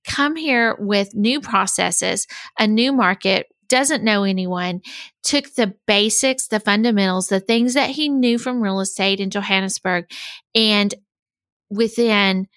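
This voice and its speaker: A woman's voice: mid-range voice, a little slow, fairly bright tone, no room sound, studio quality. Enthusiastic woman podcast host. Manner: enthusiastic, upbeat. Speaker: podcast host and executive coach. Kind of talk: podcast interview.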